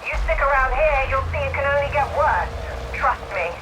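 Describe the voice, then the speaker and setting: An actress playing a hard-bitten, cynical mission guide giving terse directions in a video game. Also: female voice, sits high, animated, moderate pace, heavy background noise. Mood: wary, terse.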